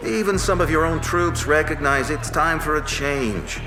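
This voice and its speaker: A man's voice: fairly high pitch, moderate pace, natural voice. A man playing a defiant older protest leader, speechifying in an audio drama. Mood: defiant, firm. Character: defiant grandfather leading a citizens' protest, speechifying. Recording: echoey space, music underneath.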